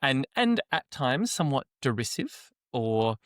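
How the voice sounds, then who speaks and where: A soft-spoken man talks on a podcast at a middle pitch. He speaks in a measured way and pauses to find the right word. The recording is dry and close. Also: sing-song, no room sound. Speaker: podcast co-host. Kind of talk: casual chat.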